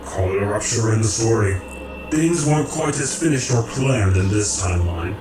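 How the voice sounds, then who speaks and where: A man in a radio drama playing a calm, commanding creator of realities who speaks in measured, formal, cryptic phrases. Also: lowish voice, close to an everyday voice. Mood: calm, authoritative. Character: calm, commanding cosmic creator, male, cryptic philosophical overseer. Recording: roomy echo, distant, music underneath.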